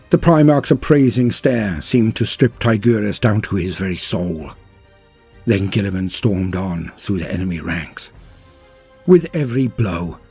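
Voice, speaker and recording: A man's voice: medium pitch, gravelly, a little mellow, slightly rough. A man narrating a scripted tale in a grave, theatrical manner, drawing out words for emphasis. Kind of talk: dramatic scripted lore narration.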